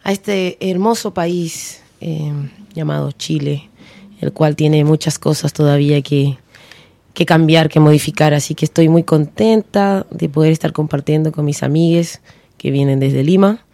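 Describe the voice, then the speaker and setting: A woman from Peru introducing herself on the radio in a lively way, lifting her pitch on key words. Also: creaky, dry recording. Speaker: radio guest.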